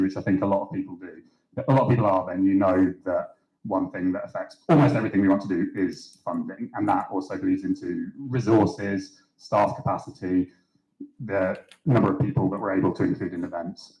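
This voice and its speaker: A young man running for student office delivers a confident, persuasive pitch. His voice is very low. It is recorded on a dull mic in an echoey room. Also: a little mellow. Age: young adult. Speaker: student election candidate, chair of council. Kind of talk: timed candidate answer at a hustings. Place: students' union event room.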